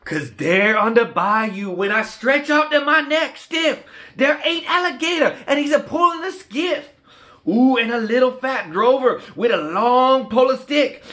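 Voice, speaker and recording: An excitable, folksy Cajun man tells a rhyming storybook tale. His voice is pitched very high and swoops boldly, with a bright, exuberant, declamatory edge. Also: a little slow, full character voice. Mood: excited, amazed. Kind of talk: rhymed storybook dialect read-aloud.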